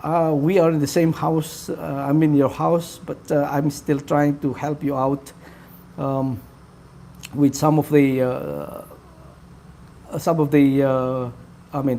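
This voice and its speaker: A man hosting a casual live stream, talking to his viewers in a halting way with many fillers. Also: a little high, gravelly, warm tone, slight background noise. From Philippines. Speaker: livestream host.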